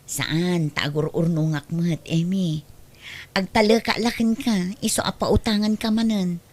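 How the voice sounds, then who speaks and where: A woman's voice: on the low side, steady tone, unhurried. A woman acting as a thrifty neighbor who is reluctant to lend money again, in an audio drama. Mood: wary. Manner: wary, reluctant.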